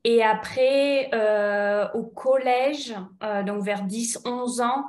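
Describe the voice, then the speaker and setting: A woman from France hosting an interview, asking questions slowly with many fillers. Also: fairly high pitch, a little mellow. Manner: slow, halting, many fillers. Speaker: host, French tutor. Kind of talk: conversational interview.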